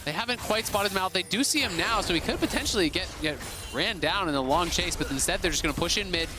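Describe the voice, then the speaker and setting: Male color caster, high voice, conversational strategist, music under. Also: fast. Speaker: color commentator. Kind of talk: esports analysis commentary. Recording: game music behind.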